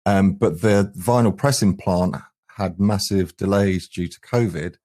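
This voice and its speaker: A man's voice: low voice, steady tone, measured pace, mellow. A middle-aged man with an English accent, chatting casually as a musician guest in an interview. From England. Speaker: band frontman and guest.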